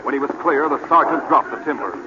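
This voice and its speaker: A man's voice: fairly high pitch, expressive, fast talker. A man narrating a story in the dramatic scripted style of old-time radio. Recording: noisy, muffled vintage radio recording.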